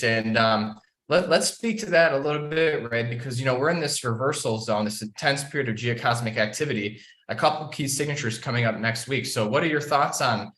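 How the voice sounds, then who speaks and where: A man hosts in a conversational, filler-laden way. His voice is bright and crisp-edged at an average male pitch. The recording is noticeably roomy, with echo around the words. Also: a little fast. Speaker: podcast host. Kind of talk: casual market commentary interview. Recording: roomy, echoey sound.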